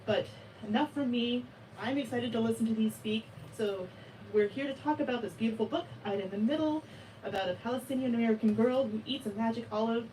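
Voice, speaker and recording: A woman's voice: a little high, a little fast, mellow. A woman hosting a bookstore event on a video call, reading an introduction with some hesitation. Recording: distant mic, faint background noise.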